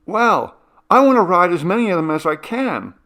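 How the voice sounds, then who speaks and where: A gleeful teenage girl, acted out by a male narrator, headlong and bubbly. The voice jumps well up in pitch and is emphatic and excitable, in the manner of an audiobook character. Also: moderate pace, full character voice. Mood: gleeful, eager. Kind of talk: storybook character voice.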